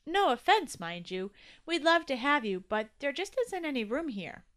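A woman's voice: sits high, sing-song, normal speed, a bit bright, pitched above the performer's own voice. A woman voicing a young male baby tooth in a children's story, naive and polite, with a worried, pleading manner. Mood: relieved, polite. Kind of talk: acted children's story dialogue.

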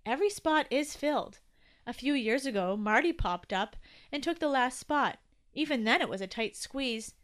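A small, anxious baby tooth from a children's story, played by a woman. His voice sits high, and he talks in a naive, polite way. There is a worried, pleading edge to his manner. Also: expressive, moderate pace, a bit bright. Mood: insistent. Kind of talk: acted children's story dialogue.

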